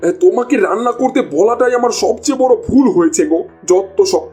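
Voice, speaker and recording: A high-pitched village husband from a cartoon, acted by a man. He grumbles and exclaims, easily exasperated with those around him. Faint music plays behind the voice. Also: a little fast, darkish tone. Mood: angry, scolding. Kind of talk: Bengali cartoon dialogue.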